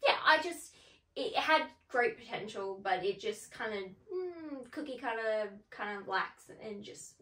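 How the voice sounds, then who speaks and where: A woman co-hosting a movie review show, chatting casually in an animated way with hesitant phrasing. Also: fairly high pitch, measured pace. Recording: echoey room, distant mic.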